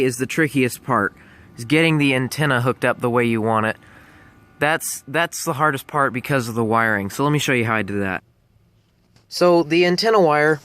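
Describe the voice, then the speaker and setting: Man, mid-range pitch, casual how-to vlogger, plain informal step-by-step delivery, little room echo. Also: fairly bright tone. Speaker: vlogger presenting a DIY tutorial.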